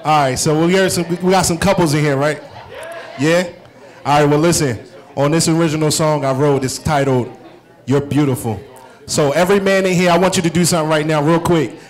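A man's voice: on the high side, fairly quick. American man bantering on stage. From New Jersey, United States. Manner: informal, coaxing the audience. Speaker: singer performing live. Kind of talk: casual stage banter with the audience. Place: indoor restaurant or bar in front of an audience. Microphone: handheld microphone.

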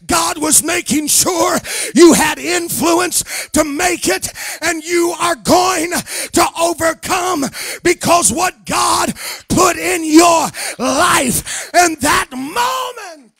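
A middle-aged American man preaches with a very high, gravelly voice. His delivery is forceful and emphatic, stacking repeated phrases in an impassioned church sermon. He speaks close into a handheld microphone, with a bright, hard edge to the sound. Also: slow pace, no room sound. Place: indoor church meeting room, at a pulpit. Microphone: handheld microphone held close.

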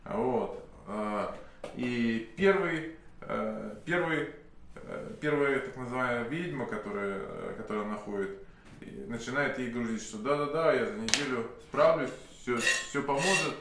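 A man in his thirties with a slight cold, telling a long story to camera, full of pauses and restarts. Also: mid-range voice, fairly bright tone. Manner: rambling, many hesitation sounds and restarts. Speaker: self-styled sorcerer telling a client's story. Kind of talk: unscripted monologue to camera. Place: indoor office room with candles. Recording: very echoey room, faint hiss.

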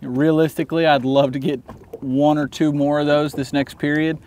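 A man's voice: on the high side, level tone, normal speed, darkish tone. Young man narrating his fishing day. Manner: casual, talks to himself and the camera crew between casts. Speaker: professional bass angler. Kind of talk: running commentary while fishing. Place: outdoors on a bass boat on an open lake.

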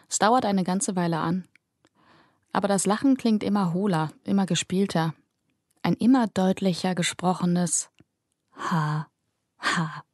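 A woman calmly narrating a fantasy audiobook. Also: on the low side, dry recording, clean studio sound.

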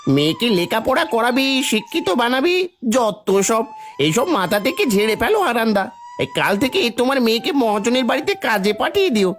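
A woman's voice: a little high, sing-song, fairly quick, soft background music. A woman voicing a sly, bullying henchman of a village headman in a children's cartoon, with a taunting, blustering manner. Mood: mocking. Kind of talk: Bengali children's cartoon voice acting.

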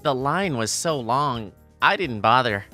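A man's voice: medium pitch, animated, unhurried, pushed and bright, faint music behind. A man playing an easygoing teenage boy narrating a romantic comedy manga story, explanatory and fondly exasperated. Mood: evasive. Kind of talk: scripted manga narration and dialogue.

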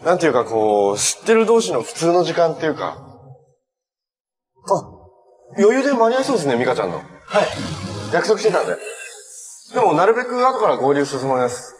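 A young man acting in a TV drama as a cheerful, selfless hero, speaking naturally in a gentle, earnest way. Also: on the high side, very animated, brisk, pauses often. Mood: casual, friendly. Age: young adult. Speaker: actor in a superhero TV series. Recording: background noise, some reverberant scenes.

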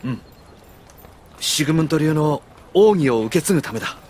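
A man's voice: a little high, animated, normal speed, darkish tone, a little hiss or hum, lightly acted. A man playing a friendly, easygoing young swordsman in an anime audio drama, speaking in a casual way. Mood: upbeat. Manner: casual, explanatory.

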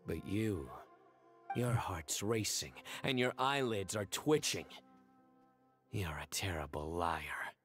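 An actor playing a stern young male investigator in a video game, speaking calmly and deliberately. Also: low voice, faint music behind, full character voice. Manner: calm, cold, deliberate deduction.

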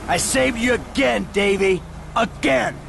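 An actor playing a cynical, fed-up criminal in a video game, wary and needling with sardonic delivery. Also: male voice, sits high, unhurried, gravelly, a bit bright, slight background noise, heavily acted. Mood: demanding.

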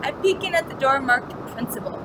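High-voiced young woman reading to children. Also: lively intonation, a little fast, heavy background noise. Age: young adult. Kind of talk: picture-book read-aloud with asides to children. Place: indoor classroom, seated.

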